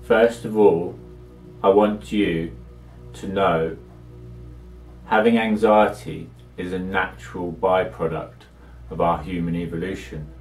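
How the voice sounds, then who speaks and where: Deep, slow-voiced man guiding meditation. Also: fairly even intonation, darkish tone, soft background music. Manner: measured, soothing delivery with long pauses. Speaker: meditation guide. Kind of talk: guided meditation, slow spoken instruction. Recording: echoey, distant from the microphone.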